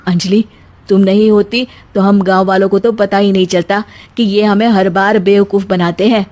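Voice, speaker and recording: A woman voicing a gentle young village husband, a farmer, speaking softly and placatingly in a cartoon story. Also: medium pitch, flat delivery, moderate pace, natural voice. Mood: earnest. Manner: soft, placating. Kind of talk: animated Hindi story dialogue.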